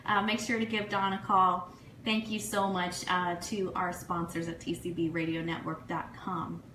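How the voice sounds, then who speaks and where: A woman from the United States hosting a casual, chatty livestream radio show in a gushing, excitable way, full of thank-yous and shout-outs. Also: fairly low pitch, moderate pace. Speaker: online radio show host. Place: room, live social media stream. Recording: echoey room, faint noise.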